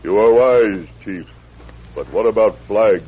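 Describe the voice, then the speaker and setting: A man's voice: on the low side, measured pace, breathy edge. An actor playing a heroic masked western lawman in an old radio adventure, giving firm, clipped commands. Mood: steady. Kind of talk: 1940s radio adventure acting. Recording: muffled old radio broadcast recording.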